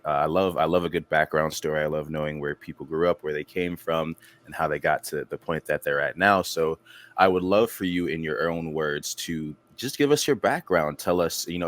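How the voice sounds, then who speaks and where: A man's voice: very deep voice, no echo. A man hosting a podcast, enthusiastically inviting his guest to share his background. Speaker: podcast host. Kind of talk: interview questions.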